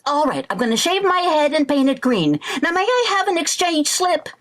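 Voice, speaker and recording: A woman playing an excitable, scheming housewife in a theatrical old-time radio comedy style. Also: high-pitched, normal speed. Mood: brisk. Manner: theatrical, exclaiming. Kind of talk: 1940s radio comedy acting.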